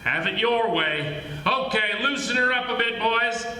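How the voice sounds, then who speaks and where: A man's voice: extremely high pitch, lively intonation, a little slow, very noisy. A man playing a sardonic, commanding police detective in a 1940s-style radio drama, theatrical and teasing. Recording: echoey live stage performance.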